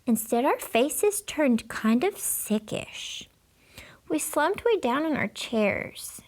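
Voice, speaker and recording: A woman reading a children's book aloud in an expressive, theatrical storytelling manner. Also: high-pitched, slow, whispered. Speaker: storybook reader. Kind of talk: animated children's book read-aloud in a child narrator's words.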